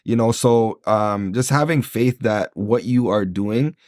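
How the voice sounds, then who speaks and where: A man's voice: on the low side, measured pace, a little mellow, no room sound, clean studio sound. A man from Jamaica, an entrepreneur guest on a podcast, speaking in an earnest, motivational way with frequent fillers and restarts. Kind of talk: casual interview talk. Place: podcast recording.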